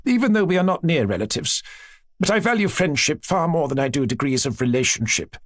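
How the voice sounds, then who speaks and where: A man's voice: fairly high pitch, big pitch swings, a little fast, no room sound, clean studio sound, pitched above the performer's own voice. A man reading aloud, voicing a chatty aristocratic princess who talks insistently, as though someone were contradicting her. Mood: gracious. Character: chatty aristocratic woman, princess, strict mother.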